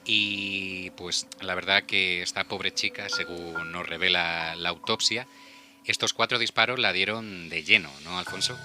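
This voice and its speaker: A man's voice: low-pitched, steady tone, unhurried. A man with a Spain Spanish accent hosting a conversational true-crime radio discussion. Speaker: radio show host. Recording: music under the voice. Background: background music.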